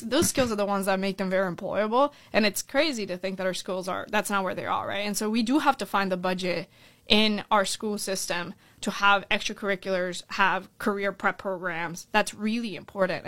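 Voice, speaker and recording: A woman's voice: mid-range voice, sharp tone, dry recording. A young Colombian-born woman running for school board, talking earnestly in a podcast interview and often tagging her points with "right?". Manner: earnest, fast-flowing with frequent "right?" tags.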